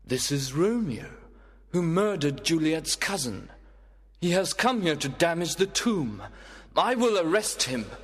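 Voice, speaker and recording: A man's voice: a little high, very animated, measured pace, fairly bright tone, breathy edge, exaggerated voice. A man playing an earnest, hot-tempered young nobleman in a dramatised audiobook tragedy, declaiming his lines with long reverb. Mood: indignant. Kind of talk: dramatised graded-reader audiobook.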